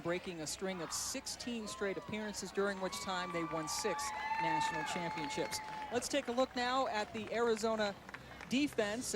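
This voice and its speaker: A man calling a college softball game as play-by-play announcer, with a brisk, upbeat, stat-heavy broadcaster delivery. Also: sits high. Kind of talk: live sports commentary. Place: broadcast booth at a stadium. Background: crowd noise.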